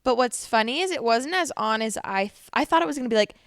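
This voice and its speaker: A woman's voice: a little high, expressive, fast talker, a bit bright, smooth, dry recording, studio quality. Bubbly young woman podcast host. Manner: bubbly, laughs often, chatty. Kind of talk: casual chat. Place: lying on a couch at home.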